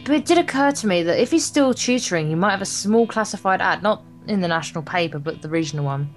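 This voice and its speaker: A woman's voice: lowish voice, expressive, quick pace, soft background music. A woman reading a visual novel aloud for a gameplay video, in a playful, theatrical way with crude joking asides.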